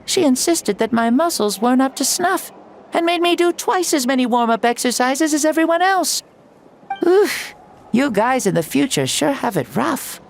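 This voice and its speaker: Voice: high voice, sing-song, moderate pace, vocal fry, slight background noise, exaggerated voice. An actor voicing a jovial, boastful ancient warrior hero in a game cutscene, talking in a playful, teasing way that turns grandly theatrical.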